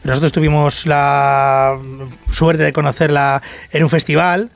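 A man with a Castilian Spanish accent chatting as a musician in an interview, halting and leaning heavily on filler words. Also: a little high, flat delivery, creaky, faint music behind. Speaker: band musician being interviewed. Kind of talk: casual group interview.

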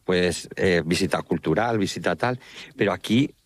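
A man's voice: low-pitched, moderate pace, slight background noise. A middle-aged man from La Mancha with a Manchego accent, a travel agency founder talking loosely with many fillers while walking outdoors. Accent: Manchego Spanish. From Spain, La Mancha. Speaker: pilgrimage travel agency founder, phone guest. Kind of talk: casual radio interview. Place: walking outdoors along an estuary.

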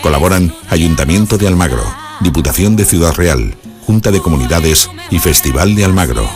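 A man reading a radio station promo in a deep announcer manner. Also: lively intonation, moderate pace, over music. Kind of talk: promo voice-over.

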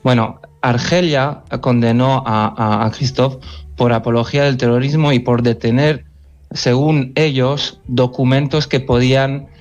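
A man's voice: medium pitch, fairly even intonation, darkish tone. Earnest man on a dull line. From France. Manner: earnest, halting with restarts. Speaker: editor-in-chief of a football magazine. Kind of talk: phone interview on radio.

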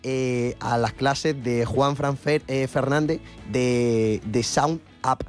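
Playful, halting man in radio banter. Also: medium pitch, fairly even intonation, soft background music. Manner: playful, halting, self-interrupting. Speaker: comic radio segment contributor. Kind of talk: casual comedic radio banter.

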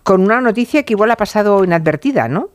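A woman co-hosting a brisk radio show. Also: medium pitch, animated, no room sound. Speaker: radio co-host. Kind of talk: casual radio chat.

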